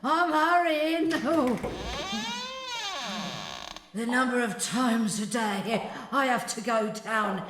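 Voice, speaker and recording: A woman's voice: on the high side, takes it slowly, noisy background. A woman playing a put-upon older maidservant in a children's audio drama, complaining and muttering to herself. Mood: grumbling. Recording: roomy cellar-like echo.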